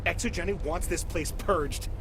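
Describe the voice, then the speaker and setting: A man playing a panicky, cornered corporate man, stammering and high-strung. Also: moderate pace, noisy background, close to an everyday voice. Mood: nervous, defensive.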